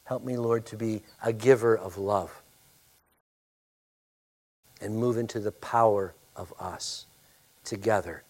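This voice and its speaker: Emphatic preaching man, loud voice. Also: lowish voice, fairly even intonation, slow, long pauses. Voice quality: loud, forceful voice. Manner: emphatic preaching that drops into a soft, hushed prayer. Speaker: pastor. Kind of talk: sermon and closing prayer.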